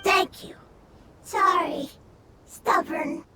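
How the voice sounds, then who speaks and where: A woman voicing a small, childlike elemental animal creature in a video game, simple and earnest, in halting short phrases. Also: very high voice, fairly even intonation, noisy background, exaggerated voice. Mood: grateful. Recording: loud game music under voice.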